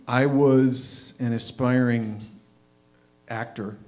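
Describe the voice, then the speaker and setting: A man in his fifties from Canada hosting a live show, telling a personal story informally in a wry, reflective way with deliberate emphasis. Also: medium pitch, fairly even intonation, a little mellow. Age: 50s. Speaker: host of a live readers' theatre show.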